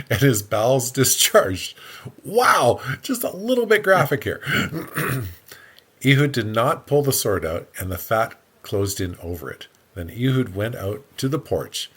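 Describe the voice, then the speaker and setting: A man's voice: on the low side, fairly bright tone, husky. A man co-hosting a podcast, reading scripture aloud and dropping in wry, joking asides in a casual way. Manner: jokey, wry.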